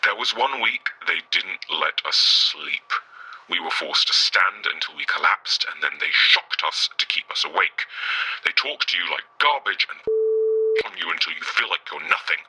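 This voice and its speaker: An actor playing a bitter, worn-out former test subject giving a guarded, halting interview in an audio drama, husky and rough. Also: male voice, sits low, animated, bright, forceful tone, slight background noise, heavily acted, poor audio. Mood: bitter, weary.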